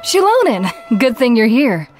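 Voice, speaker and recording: A woman's voice: a little high, very animated, moderate pace, loud music behind the voice. A woman voicing a polite, chatty young villager in a video game. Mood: puzzled.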